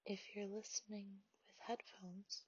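A woman leading a guided meditation, speaking softly in a half-whisper, slow and soothing, on a dull, dry, lo-fi recording. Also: mid-range voice, level tone, breathy edge, no echo.

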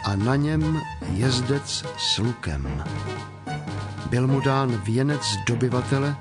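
A male narrator reads scripture as drama, solemn and measured. His voice is mid-pitched, with music playing clearly behind it. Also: lively intonation.

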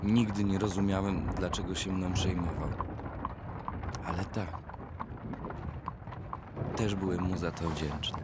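A man's voice: deep voice, steady tone, a little slow, pauses often. An actor in an audio drama playing a guarded, sceptical man who speaks in a reserved, formal, curt way. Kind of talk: radio drama acting. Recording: loud vehicle noise and ambience behind.

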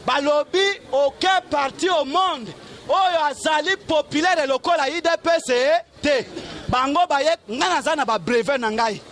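A man's voice: extremely high pitch, a little slow. A middle-aged man with a Congolese accent giving an impassioned, emphatic political speech in the street, speaking into a handheld microphone. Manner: declamatory, emphatic, often shouting. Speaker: political activist, street orator. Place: outdoors on a street among onlookers.

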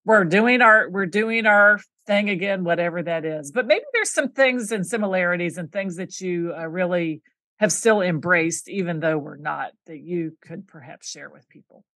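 A woman's voice: fairly low pitch, normal speed, sharp tone, no echo. A woman hosting a podcast, asking long, encouraging questions. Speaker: podcast host. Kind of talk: interview questions.

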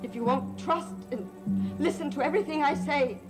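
Actress as haunted young soap heroine: high voice, slow, pleading, music behind. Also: female voice, natural voice. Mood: agitated, desperate. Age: young adult. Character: frightened young gothic heroine, haunted and insistent. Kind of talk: 1960s gothic soap opera acting.

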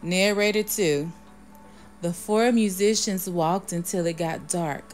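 A woman reading a children's storybook aloud in a measured way, announcing each part before she reads it. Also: lowish voice, soft background music. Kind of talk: storybook read-aloud, scripted narration.

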